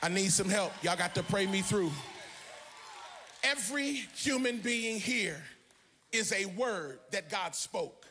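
A middle-aged American man preaching an animated, emphatic sermon as a pastor, theatrical, into a handheld microphone in a large church auditorium. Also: sits high, takes it slowly, a little hiss or hum. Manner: emphatic, theatrical, often shouting and half-singing.

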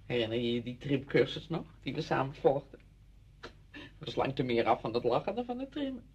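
A woman's voice: very deep voice, expressive, fairly quick, a little mellow, husky, muffled recording, exaggerated voice. An actress playing a chatty, apologetic married woman visiting a friend in a radio drama, effusive, rambling and flustered.

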